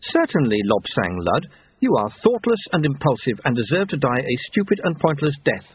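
A man's voice: mid-range voice, very animated, moderate pace, husky, no room sound. A man voicing a stern, commanding young woman with formal, precise, withering speech in an audiobook. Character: stern, brisk, slightly built young woman, severe and commanding. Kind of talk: audiobook character voice. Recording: narrow tinny phone-like sound.

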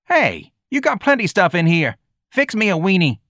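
Guy doing a bratty teen street punk from a novel, pitched up, slangy and sneering. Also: huge pitch swings, normal speed, a bit bright, no echo, studio quality, exaggerated voice. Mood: demanding. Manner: slangy, brash, ungrammatical. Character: surly, sneering teenage boy street punk.

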